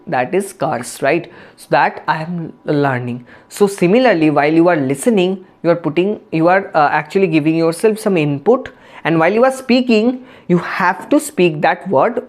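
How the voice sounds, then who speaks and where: A woman's voice: sits low, a little mellow. A woman with an Indian English accent, a native Bengali speaker, giving a spoken English lesson and explaining with many restarts and fillers. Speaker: English teacher.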